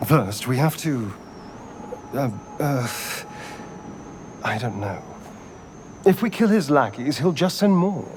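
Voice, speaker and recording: An actor voicing a haughty, anxious vampire spawn on the run in a video game, theatrical and sardonic. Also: male voice, mid-range voice, expressive, takes it slowly, pauses often, background noise. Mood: tense, wry.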